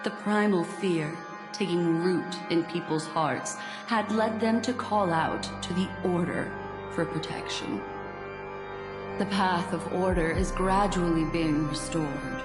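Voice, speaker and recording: Slow, echoey, poised mystic. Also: female voice, fairly low pitch. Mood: grave. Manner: measured, formal, elegant delivery. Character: poised, mysterious female memory keeper, calm and knowing. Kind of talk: scripted video game story dialogue. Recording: echoey reverb, loud game music behind.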